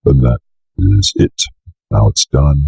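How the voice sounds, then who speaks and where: A young man with a bit of a cold giving a casual software walkthrough in an offhand, mumbling way. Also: very deep voice, slow pace, vocal fry, husky, no echo. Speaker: software tutorial presenter. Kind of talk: casual screen-recorded walkthrough. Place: indoor room at a computer desk.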